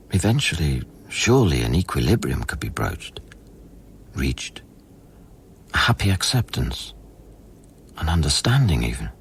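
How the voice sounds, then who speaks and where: An actor playing a haunted, weary scientist who narrates his memories in a measured, introspective radio play style. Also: low voice, slight background noise, heavily acted. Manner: brooding, measured, literary.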